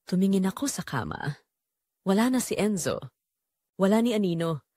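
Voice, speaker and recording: A woman with a Filipino accent narrating a scripted drama in the first person, measured and emotive with pauses. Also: on the low side, dry recording, clean studio sound. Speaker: storyteller.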